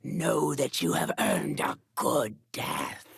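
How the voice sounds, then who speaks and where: An actress playing a proud, menacing alien assassin in a video game, speaking in a formal, haughty, threatening way. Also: female voice, very deep voice, big pitch swings, slow, creaky, husky, full character voice. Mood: haughty challenge. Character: proud alien assassin warrior, female, menacing, honor-bound, disdainful. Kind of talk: scripted video game villain voice acting.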